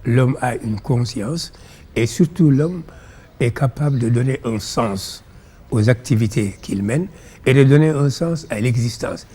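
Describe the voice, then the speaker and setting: A man with a Senegalese accent and Pulaar as his first language, explaining ideas in measured, didactic phrases as an interview guest. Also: mid-range voice, dark tone, husky. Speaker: interview guest, philosophy author.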